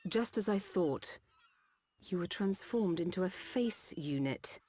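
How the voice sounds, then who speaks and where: A woman voicing a composed, caring mechanical-lifeform doctor in a game, speaking in a measured, formal, polite way. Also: fairly low pitch, animated, warm tone. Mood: concerned, assured. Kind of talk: scripted game cutscene acting.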